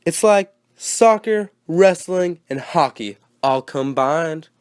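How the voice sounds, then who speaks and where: An eager sports co-host from a 1920s radio show, acted by a man. His voice is fairly high and recorded very dry. He is earnest and peppy, with a teasing streak and plenty of old-time slang. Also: lively intonation, takes it slowly, darkish tone, clean tone, dry recording. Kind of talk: 1920s radio broadcast acting with period slang.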